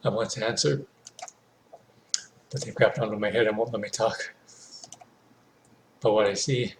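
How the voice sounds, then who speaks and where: A man's voice: lowish voice, steady tone, moderate pace, slight background noise, close to an everyday voice. A man playing a frightened, trapped character in a horror visual novel, speaking his dialogue and first-person narration tensely. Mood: tense dread.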